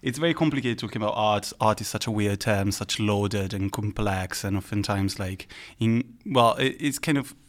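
Male podcast guest, lowish pitch, thoughtful and searching delivery. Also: moderate pace, hardly any pauses. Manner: hesitant, searching for words. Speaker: art curator, podcast guest. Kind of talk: reflective interview talk.

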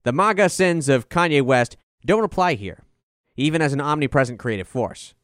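A man's voice: a little high, lively intonation, normal speed, a bit bright, no room sound, clean studio sound. A man reading a scripted review as narration, in an articulate, formal delivery. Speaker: album review reader.

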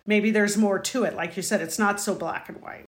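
A woman's voice: mid-range voice, fairly even intonation, normal speed. Earnest middle-aged mother, echoey room. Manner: earnest and proud, rambling. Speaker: interviewee, the host's mother.

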